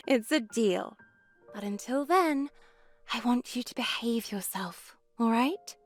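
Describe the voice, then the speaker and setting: Gentle, husky, high-voiced nun. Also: female voice, expressive, takes it slowly, soft background music. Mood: fond. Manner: gentle, hushing, maternal. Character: kind, soothing young nun comforting a child. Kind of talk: visual novel game voice acting.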